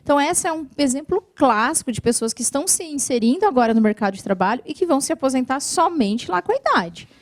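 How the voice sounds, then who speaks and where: A Brazilian woman answering viewers' questions about benefits in a TV interview, explaining patiently and clearly, often adding "né". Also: high voice, lively intonation, quick pace, hardly any pauses, no room sound. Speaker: social security lawyer guest. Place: TV studio.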